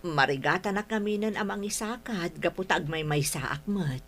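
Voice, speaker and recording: A woman acting as a middle-aged Ilocano mother in a radio drama, with a lowish voice. She is caring and poor, speaking tenderly and pleadingly to her family, over some background noise. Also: normal speed, natural voice. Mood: humble pleading.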